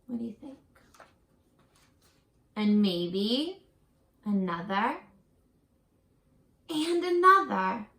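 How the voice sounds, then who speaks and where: High-voiced woman reading slowly to children. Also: lively intonation, gravelly, roomy sound. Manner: gentle, encouraging, addresses young children directly. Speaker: teacher reading a picture book aloud to children. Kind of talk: animated children's read-aloud with questions to the listener.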